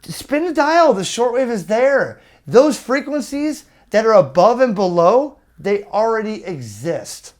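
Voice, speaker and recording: Emphatic man ranting with swooping pitch. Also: big pitch swings. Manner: emphatic, with rhetorical questions and pauses for effect; exasperated, argumentative. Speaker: amateur radio YouTube host. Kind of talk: opinionated rant to camera.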